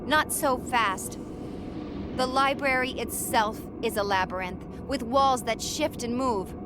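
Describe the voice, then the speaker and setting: An actress playing a stern, wary guardian of a refuge in a video game, speaking formally and with command. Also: female voice, on the high side, slow pace, noisy background. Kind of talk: video game cutscene acting.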